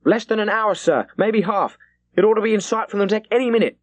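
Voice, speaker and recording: A man plays an anxious ship's sailing master in a historical audiobook. He reports with deferential urgency, pitched up from plain speech, in a tight, dry recording. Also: a little fast, no echo, natural voice. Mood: urgent. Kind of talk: dramatised audiobook character.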